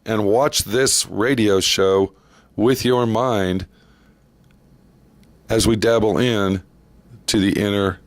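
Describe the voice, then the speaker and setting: A man hosting a vintage radio channel, introducing an old mystery episode in a relaxed way with small asides. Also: fairly low pitch, slow pace, long pauses, dry recording. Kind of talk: slow scripted introduction.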